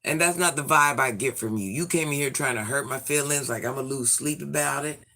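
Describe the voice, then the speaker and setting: A man in his forties, hoarse from illness, chatting sassily with viewers on a livestream and reading out their comments. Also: medium pitch, fairly quick, bright, forceful tone. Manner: sassy, chatty, addresses viewers by name. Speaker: livestream host.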